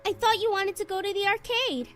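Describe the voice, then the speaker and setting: A woman's voice: very high-pitched, fairly even intonation, brisk, a bit bright. A woman voicing a curious, bubbly young female friend in an anime-style visual novel game. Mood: curious, upbeat. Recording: game music and jingles behind.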